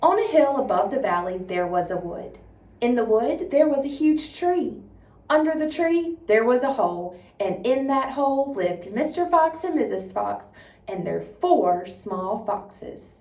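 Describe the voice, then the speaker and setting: A woman presenting in an instructive, expressive way, as for a tutorial or a storybook reading. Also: fairly high pitch, measured pace. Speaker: 4-H agent, presenter. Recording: roomy echo, distant microphone.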